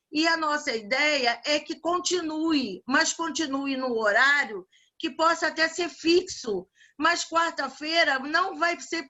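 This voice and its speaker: A woman speaking casually in an online spiritual meeting, an organizer who talks with frequent restarts. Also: high-pitched. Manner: warm religious farewells, many restarts. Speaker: event organizer and evangelizer. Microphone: video call.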